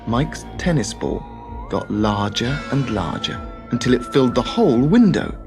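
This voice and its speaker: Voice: mid-range voice, sing-song, normal speed, over music. A storyteller reading a children's tale as dramatic scripted narration.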